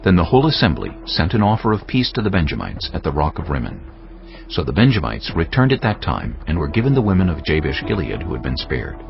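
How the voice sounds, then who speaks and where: A man giving a solemn, measured, dramatic narration of scripture. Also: very deep voice, wildly swooping pitch, creaky. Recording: dull lo-fi sound. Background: music.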